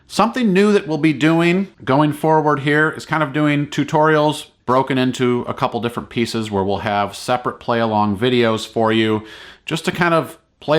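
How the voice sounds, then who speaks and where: A man giving a casual, encouraging play-along ukulele tutorial in an easygoing, informal way. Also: medium pitch. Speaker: ukulele teacher and online video host.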